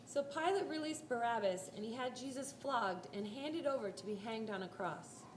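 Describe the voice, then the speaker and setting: High-voiced woman narrating in a roomy hall. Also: normal speed. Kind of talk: dramatic scripted Bible narration. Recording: roomy live hall, faint music.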